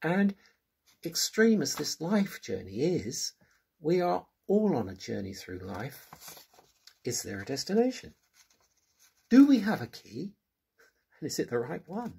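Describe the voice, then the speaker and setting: Older man reading a husky, earnest review. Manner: measured, earnest reading with lively pitch. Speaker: book reviewer. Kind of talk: reading a prepared book review. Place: small room at home. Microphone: webcam close up.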